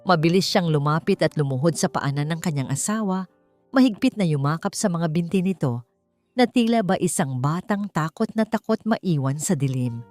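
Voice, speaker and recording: Low-pitched woman narrating drama over music. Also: animated, normal speed, a little mellow, no echo. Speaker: storyteller, narrator. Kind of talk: dramatic scripted story narration. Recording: dry voice over background music.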